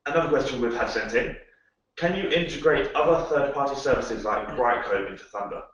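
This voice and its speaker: A man's voice: mid-range voice, brisk, a bit bright. A man reading out audience questions in a brief, matter-of-fact way. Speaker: question moderator. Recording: distant, echoey, dull sound.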